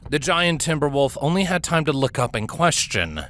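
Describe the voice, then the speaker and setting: A man's voice: a little high, sing-song, moderate pace, fairly bright tone, slight background noise. A man reading a story aloud as a narrator, with a suspenseful, theatrical delivery. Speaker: fan fiction reader and narrator. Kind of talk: dramatic scripted story narration.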